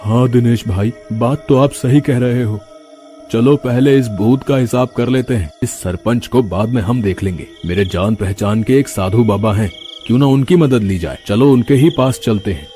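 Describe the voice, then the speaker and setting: An earnest young villager in a cartoon story makes plans with his friend, as a man acts him out. He sounds eager and indignant, and his voice is mellow and dark, over light background music. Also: medium pitch, normal speed, close to an everyday voice. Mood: resolute. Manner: excited, indignant.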